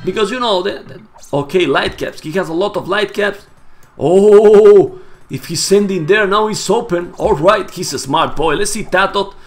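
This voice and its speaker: A hoarse, Spanish-native man casting a live strategy game match, getting louder and repeating himself at big plays. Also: high voice, moderate pace, soft background music. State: hoarse, losing his voice. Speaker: esports caster. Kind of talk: excited live game commentary.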